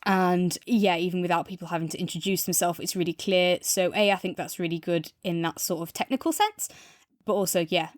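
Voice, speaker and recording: A woman's voice: on the low side, a little fast, dry recording, studio quality. A woman co-hosting a podcast, talking casually through a review.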